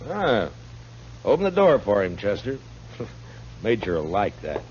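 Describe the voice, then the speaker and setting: An actor playing a steady, dry and blunt American frontier marshal in a 1950s radio western. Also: male voice, on the low side, unhurried. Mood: dry amusement. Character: weary, steady frontier U.S. marshal, a grown man. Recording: muffled old radio recording with hiss and hum.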